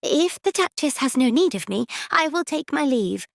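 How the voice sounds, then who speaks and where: A timid young maid, acted by a woman, speaks in a high-pitched voice. She is hesitant, deferential and easily flustered, in the style of a period audio drama. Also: very animated, moderate pace, vocal fry, dry recording, clean studio sound, heavily acted. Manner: hesitant, servile, eager.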